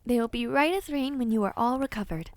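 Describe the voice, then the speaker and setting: Kindly otherworldly witch for audio roleplay, played by a woman: high voice, soothing, old-fashioned phrasing, caring and a little scatterbrained. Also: brisk, dry recording, lightly acted. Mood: gentle reassurance. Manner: gentle, soothing, old-fashioned formal phrasing, easily distracted. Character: kind, dreamy peculiar witch, otherworldly and caring. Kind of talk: audio roleplay addressed to the listener.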